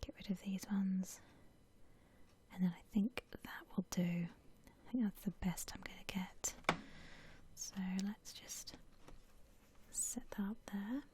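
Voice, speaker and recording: A woman whispering softly in a gentle, unhurried, musing way, as in ASMR commentary on a game she is playing. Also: mid-range voice. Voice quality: soft breathy whisper. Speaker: gaming ASMR host.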